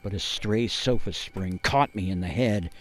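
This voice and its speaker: A man playing a grave gingerbread man, narrating a radio play as a haunted survivor with theatrical suspense and weighty pauses. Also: on the low side, natural voice. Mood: grim, rueful. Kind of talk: dramatic first-person storytelling for a radio play.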